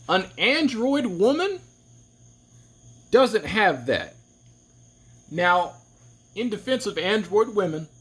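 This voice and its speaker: A man's voice: fairly bright tone, slightly rough. A man giving an opinionated, rambling monologue to camera, often restarting his sentences. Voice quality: deep voice. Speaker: vlogger.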